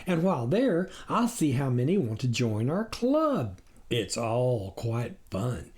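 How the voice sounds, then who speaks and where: A man's voice: mid-range voice, huge pitch swings, slow, darkish tone. A man voicing a sly storybook coyote who passes himself off as a duck with glib, folksy sales patter. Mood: sly, reassuring. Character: sly male coyote posing as a vegan duck. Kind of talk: storybook character reading.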